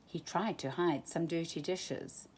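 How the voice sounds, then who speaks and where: A woman reading a children's storybook aloud, slow and expressive. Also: low-pitched, slightly rough. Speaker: storytime reader.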